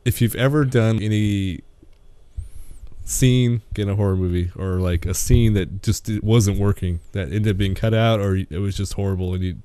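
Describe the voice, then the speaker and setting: A man's voice: fairly low pitch, fairly even intonation, normal speed, mellow, clear, resonant tone, no room sound. A man interviewing in a casual chat, with hesitant, conversational phrasing. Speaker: podcast interviewer.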